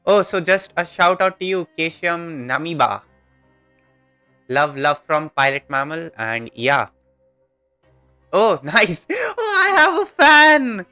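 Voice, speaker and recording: Bright-voiced Indian man livestreaming. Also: fairly high pitch, animated, slow pace, pauses often, smooth, dry recording, faint music behind. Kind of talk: casual gaming livestream chat with viewers.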